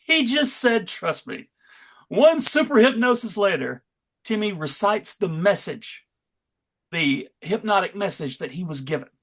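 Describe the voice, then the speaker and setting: A man's voice: sits high, animated, unhurried, fairly bright tone. Wry man hosting a comic table read. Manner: wry, tongue-in-cheek asides. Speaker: host and narrator. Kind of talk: dramatic narration of a comic-book table read.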